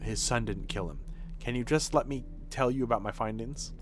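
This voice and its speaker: Guy doing a snooty doctor in a game, lowered voice, dry n clinical, music behind. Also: normal speed, lightly acted. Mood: impatient. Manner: formal, condescending. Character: haughty male physician and scientist, dry and clinical. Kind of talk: dramatic reading of video game dialogue.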